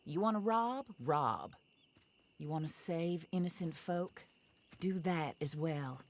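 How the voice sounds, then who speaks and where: An actress playing a wealthy, resolute frontier widow in a video game, speaking with formal, composed, wry politeness. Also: female voice, low voice, animated, slow, exaggerated voice. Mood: cool, wry. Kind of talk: video game cutscene acting.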